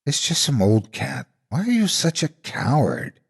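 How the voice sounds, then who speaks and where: A man's voice: medium pitch, sing-song, a little slow, vocal fry, slightly rough, pitched above the performer's own voice, exaggerated voice. A man voicing a greedy, fearless little boy who talks in a bold, childish, insistent way. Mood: mocking.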